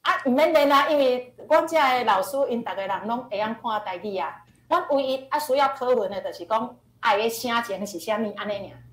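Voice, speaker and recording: Female teacher, Taiwanese accent, slightly high pitch, explanatory and repetitive for emphasis; roomy, echoing recording. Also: brisk. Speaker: teacher answering questions on radio drama. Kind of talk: informal online question-and-answer explanation. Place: online video class. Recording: echoey online class audio.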